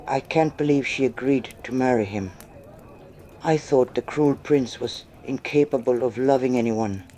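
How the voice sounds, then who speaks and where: A man's voice: medium pitch, normal speed, dark tone, a little hiss or hum, close to an everyday voice. A man playing an incredulous, gossiping wedding guest in an audio story. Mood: disbelieving.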